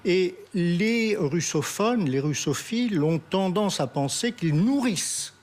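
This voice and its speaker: A man giving unscripted political commentary as a guest analyst, halting and repeating himself as he searches for words. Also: high-pitched, expressive, a little mellow.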